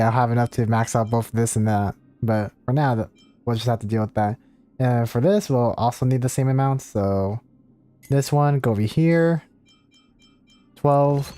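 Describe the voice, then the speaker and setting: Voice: medium pitch, darkish tone, no echo. A streamer chatting casually with viewers and commenting on a game in an easygoing, apologetic way. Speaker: livestreamer. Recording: game music behind the voice. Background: video game music.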